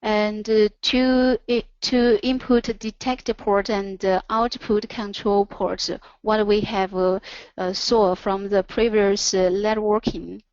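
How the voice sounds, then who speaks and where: A woman who speaks English as a second language presents a product in a webinar, haltingly, pausing between short phrases. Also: medium pitch, little pitch movement, a little mellow, clear, resonant tone, no room sound. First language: not English. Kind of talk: product presentation over slides. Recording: dull, lo-fi online audio.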